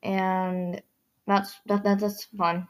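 A teenage girl hosting her own podcast in a rambling casual chat, her voice hoarse and scratchy from overuse. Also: mid-range voice, little pitch movement, very slow. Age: teens. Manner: rambling, many fillers and restarts. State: hoarse, strained voice. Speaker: podcast host.

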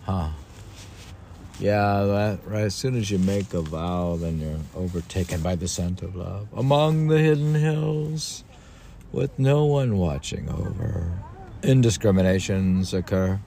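Low creaky older man, musing slowly. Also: lively intonation, mellow, a little hiss or hum. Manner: rambling, musing, self-deprecating, repeats passages. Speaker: podcast host and reader. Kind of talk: reading a book aloud with casual off-the-cuff commentary.

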